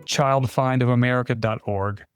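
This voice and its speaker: A man's voice: mid-range voice, steady tone, moderate pace. A man hosting a podcast, reading scripted announcements and credits. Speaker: podcast host. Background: guitar music.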